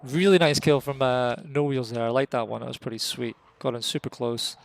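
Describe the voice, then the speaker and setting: A Scottish man giving live, casual, excitable commentary on a competitive flight game match, voice jumping up at the big moments. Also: medium pitch, brisk, gravelly. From Scotland. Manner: excitable, rises sharply at kills; casual and jokey.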